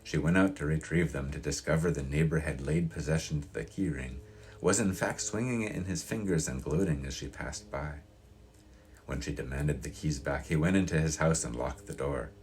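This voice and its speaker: A man's voice: a bass voice, moderate pace, a little hiss or hum. A man reading a novel aloud in the style of audiobook narration.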